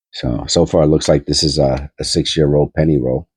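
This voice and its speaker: Male host, congested and nasal, very deep and dark, husky, rambling casual coin talk. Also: no echo, studio quality. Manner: rambling, filler-heavy, thinking aloud. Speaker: coin-hunting channel host. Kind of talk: casual coin roll hunting commentary.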